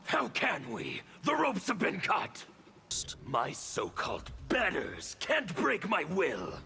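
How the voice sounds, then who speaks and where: An actor playing a scarred, fanatical revolutionary in a fighting game, gravelly and grandiose in his declarations. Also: high voice, wildly swooping pitch, slow pace, bright, forceful tone, slight background noise, heavily acted. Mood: righteous anger. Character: scarred, fanatical male revolutionary agent of chaos. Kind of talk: theatrical fighting-game villain acting.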